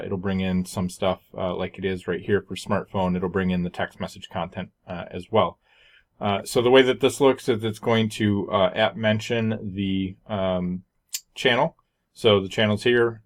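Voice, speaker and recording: Male tutorial presenter, low-pitched and very flat in delivery, matter-of-fact, informal screen-share walkthrough style.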